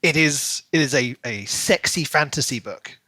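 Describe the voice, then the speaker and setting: A man's voice: medium pitch, animated, normal speed, sharp tone, no echo. A man, co-hosting a podcast and chatting casually about books. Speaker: podcast co-host. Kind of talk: casual book review chat.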